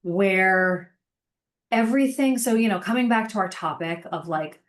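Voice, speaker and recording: A woman's voice: mid-range voice, unhurried. A woman in her thirties, a guest talking casually and thoughtfully in an interview, with a lot of fillers. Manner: chatty, reflective, frequent fillers. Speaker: podcast guest, sales engineering manager.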